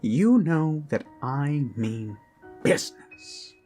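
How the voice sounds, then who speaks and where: Someone playing a very slow, unhinged, obsessed kidnapper. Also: medium pitch, expressive, warm tone. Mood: soft menace. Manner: slow, halting, lapsing into whispers and giggles. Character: obsessed, unhinged kidnapper doting menacingly on a captive. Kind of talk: improvised yandere ASMR roleplay. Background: eerie background music.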